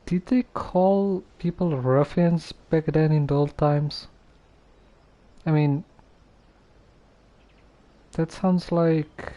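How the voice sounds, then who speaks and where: A man casually live streaming a game, reading dialogue aloud and commenting in a halting, musing way. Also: fairly high pitch, steady tone, dark tone, lo-fi sound. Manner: halting, musing, repeats phrases.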